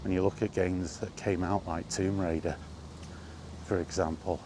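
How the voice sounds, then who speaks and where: A middle-aged man in a casual, rambling vlog chat, speaking conversationally with halting pauses while out walking. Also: sits low, little pitch movement. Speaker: gaming vlogger. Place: outdoors, walking. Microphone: microphone with wind guard. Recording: windy outdoor recording, background noise.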